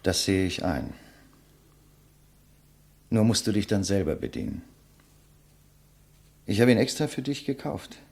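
Low-voiced, composed, condemned writer. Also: male voice, very animated, vocal fry, a little mellow, heavily acted. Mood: bitter irony. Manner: measured, eloquent, sardonic. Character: condemned male writer, a widower, composed and bitterly ironic. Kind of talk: 1950s German radio play acting.

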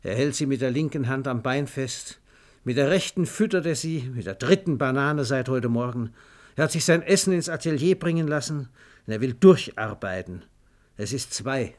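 A man's voice: mid-range voice, lively intonation, normal speed. A man reads a scripted radio story in a theatrical, emphatic storytelling manner. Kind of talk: dramatic scripted storytelling narration.

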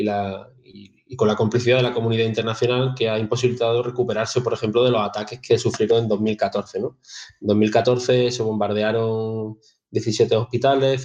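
A man's voice: lowish voice, a little mellow. A man giving explanatory commentary as a radio contributor. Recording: slightly dull, lo-fi remote audio.

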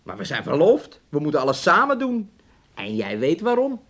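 A man's voice: on the high side, huge pitch swings, moderate pace, creaky, a bit bright, heavily acted. A possessive, needling man. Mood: insistent, needling. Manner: coaxing, needling, repetitive questions, refers to himself in third person. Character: possessive, controlling man keeping his sick fiancée hidden away. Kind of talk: Dutch radio play acting.

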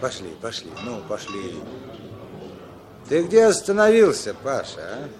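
A man's voice: mid-range voice, huge pitch swings, measured pace. A man acting a pushy, falsely friendly character with an insistent, wheedling manner in a 1960s Soviet radio play. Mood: coaxing. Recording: 1960s radio recording with loud music.

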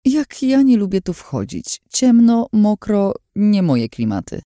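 A woman's voice: fairly low pitch, big pitch swings, moderate pace, dark tone, no room sound, studio quality, pitched above the performer's own voice. A woman voicing a scheming, mocking villainous woman in a dramatised audiobook, with theatrical sarcasm. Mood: disgusted.

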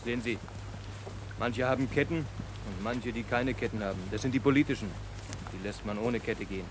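A man's voice: medium pitch, normal speed, close to an everyday voice. An actor playing a talkative, sympathetic coachman in a 1960s German radio drama, plain and deferential in manner. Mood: matter-of-fact pity. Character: talkative, sympathetic coachman, a simple working man. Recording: noisy old radio recording, vehicle sound effects.

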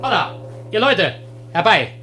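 A man's voice: high-pitched, big pitch swings, a little slow, over music. A man playing a cheeky, glib slave of ancient Greece, declaiming with mockery in a German classical comedy radio play. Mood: proclaiming. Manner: declamatory, mocking.

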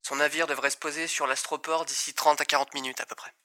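A man's voice: on the high side, flat delivery, fast talker, pushed and bright. A man playing an informal, businesslike control tower operator in a science fiction audio drama. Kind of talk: French audio drama acting.

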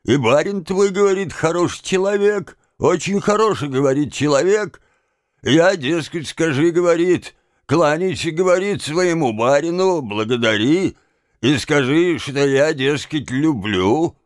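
A man's voice: fairly high pitch, animated, unhurried, dry recording, clean studio sound, pitched above the performer's own voice. A man voicing a tipsy, insolent manservant in an audiobook, drawling and repeating himself in an obstinate, evasive way. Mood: sullen, rambling. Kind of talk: dramatised audiobook of a classic novel.

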